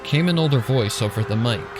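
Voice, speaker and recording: A man's voice: mid-range voice, lively intonation, fairly quick. A man reading scripted fan fiction as a dramatic narrator. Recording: loud music and effects behind. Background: loud music.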